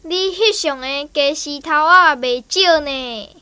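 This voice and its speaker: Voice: high voice, takes it slowly, bright, forceful tone, a little hiss or hum, exaggerated voice. An actor playing a curious little boy in a Taiwanese radio drama, with inquisitive questioning. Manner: inquisitive, wide-eyed questioning. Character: curious little preschool boy in a childhood memory.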